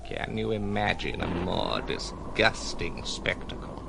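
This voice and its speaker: Gravelly spectral villain, sardonic, florid, theatrical, disdainful, audio drama over noisy background. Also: male voice, low voice, expressive, slow pace, heavily acted. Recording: noticeable background noise.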